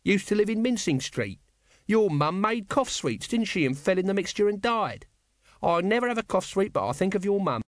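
A man's voice: sits high, big pitch swings, normal speed, no echo, studio quality, pitched above the performer's own voice. A man doing a scruffy sly needling little guardsman. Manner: teasing, mock-sweet.

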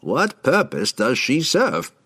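Fantasy storybook wizard: oily, amused schemer, raspy and grandiose, lifted pitch with wide swings. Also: male voice, wildly swooping pitch, fairly bright tone, no room sound, exaggerated voice. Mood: smooth probing. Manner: grandiose, drawn-out, courtly delivery.

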